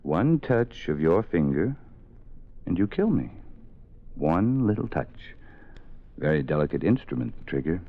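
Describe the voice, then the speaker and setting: A man's voice: deep voice, very animated, measured pace, long pauses, full character voice. A man playing a sinister writer who toys with a frightened woman at gunpoint, in a 1940s radio drama, on a dull old lo-fi recording. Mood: menacing. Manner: sinister, toying, swings from soft to sudden shouts. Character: menacing male writer holding a woman at gunpoint.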